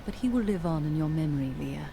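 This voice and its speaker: A watchful fantasy game companion. Her voice is lowish, composed and sober, with a guarded thoughtfulness, over clear ambient noise. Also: lively intonation, fast talker. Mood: sober concern. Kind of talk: dramatic fantasy game dialogue.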